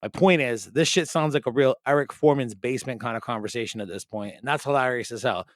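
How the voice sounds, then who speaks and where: A man's voice: medium pitch, fast, no room sound, clean studio sound. A man with a Rhode Island accent hosting a podcast, chatting in a loose, joking way and swearing freely. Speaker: podcast host. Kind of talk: casual podcast chat.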